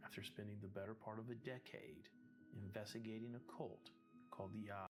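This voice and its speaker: Man acting a low, even-toned haunted investigator over music. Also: a little fast, natural voice. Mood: somber. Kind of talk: scripted horror narration.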